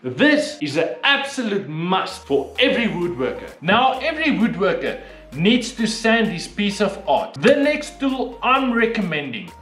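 Male DIY presenter, very lively swooping intonation, emphatic, small echoey workshop. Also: high voice, measured pace. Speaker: DIY woodworking channel host. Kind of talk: presenting tool advice to camera. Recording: echoey room with background music.

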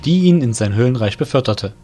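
A man narrating an audio drama in an expressive storytelling way, over music. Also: mid-range voice, brisk. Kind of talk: scripted narration.

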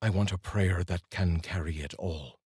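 A middle-aged man speaking in an earnest, deliberate way, like a host reading poetry aloud, up close to a studio microphone. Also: sits low, darkish tone, husky, dry recording. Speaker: host and voice-over reader. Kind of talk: solemn, measured poetry reading and personal address to viewers. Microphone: large studio microphone, up close.

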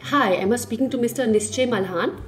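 A woman with a high voice and slow pace makes a formal phone call. She sounds stagy and officious as she confronts the other party, with faint music behind. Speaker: prank caller posing as a lawyer.

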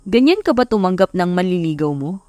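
A woman's voice: lowish voice, big pitch swings, fairly quick, mellow, dry recording, faint music behind. Woman voicing a teasing, confident young male CEO. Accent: Filipino.

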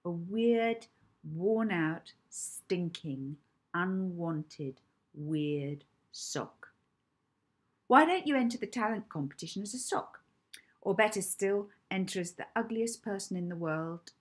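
A woman voicing a spiteful schoolgirl bully who jeers and mocks, louder and higher than her reading voice. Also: lively intonation, slow, breathy edge, heavily acted. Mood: sneering. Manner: loud, jeering, mocking.

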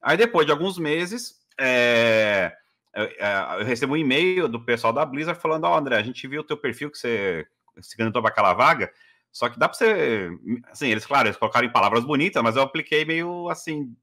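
A man's voice: medium pitch, lively intonation, brisk, sharp tone. A man, telling his story as a guest on a video call, chatty with many fillers. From Brazil. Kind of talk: casual interview storytelling. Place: living room at home.

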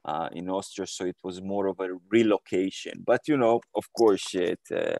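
A man's voice: low-pitched, steady tone, moderate pace, creaky, darkish tone, no echo. A man in his thirties from Croatia, answering interview questions on a video call from a room at home, hesitant with many fillers and restarts. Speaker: guest.

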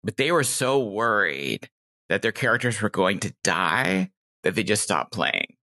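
A woman's voice: very deep voice, sing-song, a little slow, sharp tone. A middle-aged woman chatting casually and enthusiastically as a podcast guest, telling anecdotes. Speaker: podcast guest, game master.

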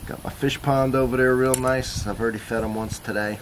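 A man's voice: medium pitch, steady tone, brisk, slight background noise, low-quality recording. A man casually reviewing coffee in his backyard, talking in an easygoing, rambling way as he thinks aloud. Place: backyard outdoors.

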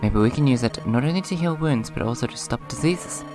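Hoarse guy doing a chill let's play, kinda subdued and rambly, reads the text out, game music going behind him. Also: medium pitch, animated, brisk. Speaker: gaming video host. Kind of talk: casual let's play, reading game dialogue aloud. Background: video game music.

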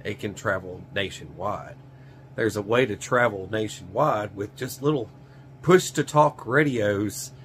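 A man's voice: lowish voice, a little slow. A middle-aged American man giving a casual product review to camera in a folksy, rambling way, repeating phrases for emphasis. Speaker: survival channel host. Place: small cluttered indoor gear workshop. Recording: clear background noise with hum.

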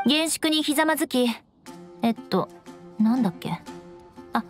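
A woman's voice: sits high, expressive, moderate pace, soft background music. A woman voicing a proud, formal young noblewoman knight in a game, haughty and easily flustered. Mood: haughty, ceremonial. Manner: haughty, archaic aristocratic phrasing. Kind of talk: Japanese game voice acting.

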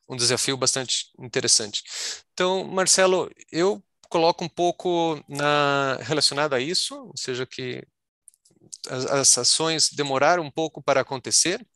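A man's voice: mid-range voice, normal speed, creaky, a bit bright, dry recording, studio quality. A Brazilian man answering as a panelist on a video call, explaining at length and often repeating words as he searches for them.

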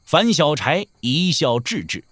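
A man's voice: on the high side, very animated, unhurried, a bit bright, breathy edge, dry recording, studio quality. A man narrating a Chinese wuxia audio drama in dramatic, scripted storytelling style.

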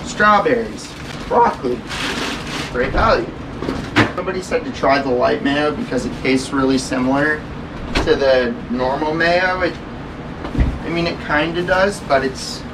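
A man's voice: a little high, measured pace, pauses often, very noisy. A young man, chatting casually and earnestly to the camera as a vlogger, rambling with lots of fillers. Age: young adult. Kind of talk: casual vlog talk to camera. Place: kitchen indoors, then outdoors on railroad tracks in woods.

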